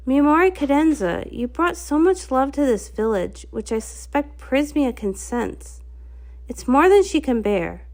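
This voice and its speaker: A caring village pony elder figure in a storybook, read by a woman. The voice is expressive and earnest, explaining things protectively. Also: sits high.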